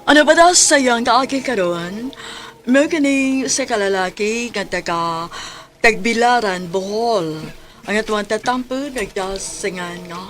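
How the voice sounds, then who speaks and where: A woman announcing the opening of a radio drama in a low-key, quick way.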